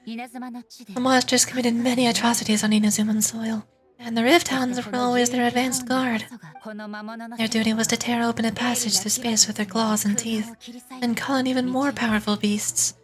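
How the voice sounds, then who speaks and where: A woman's voice: fairly high pitch, little pitch movement, normal speed, over music, close to an everyday voice. A woman voicing a grave, commanding goddess ruler, reading video game dialogue aloud in a formal manner. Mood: solemn. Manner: solemn, formal.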